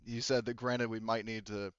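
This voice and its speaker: A man running a tabletop roleplaying game as game master, narrating casually in a halting, self-correcting way. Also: on the low side, flat delivery, smooth, dry recording, muffled recording.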